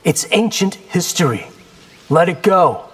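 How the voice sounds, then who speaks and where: An actor voicing a confident young warrior in a fighting video game, cocky, wry and determined. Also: male voice, high voice, animated, a little slow, fairly bright tone, slightly rough, in a large hall, exaggerated voice. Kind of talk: video game character acting.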